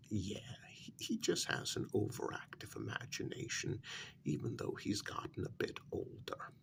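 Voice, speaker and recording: A man playing an evasive, deferential worker in a soft ASMR role-play, speaking hesitantly and politely in a breathy half-whisper. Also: medium pitch, full character voice. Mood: placating, deferential. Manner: hesitant, polite, gossipy while feigning reluctance. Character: evasive, deferential male worker smoothing things over with his boss.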